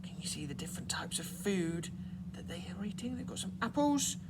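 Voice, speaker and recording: A man's voice: high-pitched, very animated, a little fast, whispered. A man reading a children's story aloud as a primary teacher, expressively, with questions to young listeners. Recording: clearly noisy background.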